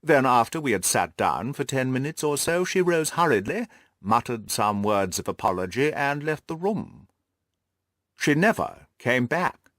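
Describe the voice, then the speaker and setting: A man's voice: medium pitch, sing-song, measured pace, fairly bright tone, no echo, studio quality. A man voicing a haughty, self-satisfied lord in an audiobook, speaking in a pompous, formal, long-winded way. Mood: aloof. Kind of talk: audiobook character dialogue.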